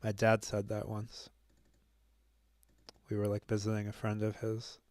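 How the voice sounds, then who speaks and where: A young man thinking aloud as he works through a language on his own, musing and joking at his own expense, halting often with fillers. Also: fairly low pitch, monotone, darkish tone, dry recording. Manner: musing, self-deprecating, halting with many fillers. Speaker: language-learning video host. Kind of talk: thinking aloud while self-studying.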